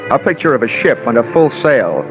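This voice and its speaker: An actor playing a wry, hard-boiled American insurance investigator, narrating dryly and colloquially in 1940s radio detective style. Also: male voice, mid-range voice, very animated, a little fast, natural voice. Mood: wry, brisk. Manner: dry, sardonic, colloquial. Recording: muffled old radio recording with music.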